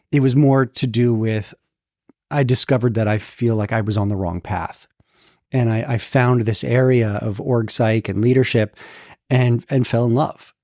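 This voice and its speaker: A man's voice: medium pitch, a little mellow, dry recording, clean studio sound. A man in his thirties speaking as an interview guest, reflective and earnest. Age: 30s. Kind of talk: casual interview.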